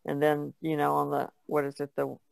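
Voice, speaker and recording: Female artist guest, heavy gravelly fry, relaxed conversational delivery, dry close sound. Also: deep voice, normal speed, no echo. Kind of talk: casual chat.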